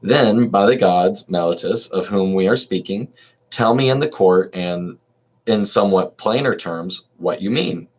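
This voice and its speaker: A man reading aloud as an old male philosopher defending himself at trial, in an even reading voice with small restarts. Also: deep voice, measured pace. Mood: questioning.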